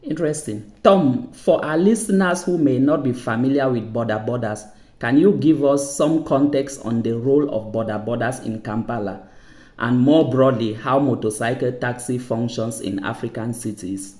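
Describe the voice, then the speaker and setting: A man's voice: mid-range voice, a little mellow. A man from Cameroon hosting a transport podcast, giving a formal scripted introduction and asking interview questions. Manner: formal, measured delivery. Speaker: podcast host. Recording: echoey room with music bed.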